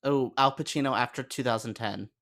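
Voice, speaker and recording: A man's voice: medium pitch, brisk, fairly bright tone. A man chatting casually as a guest in a film discussion, adding short informal comments. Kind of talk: casual chat.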